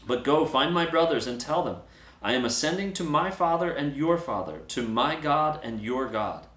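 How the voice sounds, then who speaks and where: A man's voice: fairly high pitch, expressive, normal speed, a bit bright. Man acting solemn, emphatic holy man, echoey room. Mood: tender, earnest. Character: risen Jesus, a man, calm and commanding.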